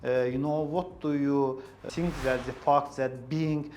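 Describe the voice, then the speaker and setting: Male discussion host, level intonation, halting search for words. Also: mid-range voice, fairly even intonation, a little mellow, a little hiss or hum. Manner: hesitant, repeats phrases while searching for words. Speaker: English club host, interviewer. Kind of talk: club discussion in a second language.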